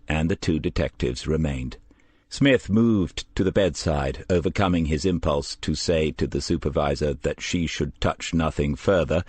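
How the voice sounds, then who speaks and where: A man's voice: rumbling low, a little mellow, no echo. A man reading a crime story as scripted audiobook narration.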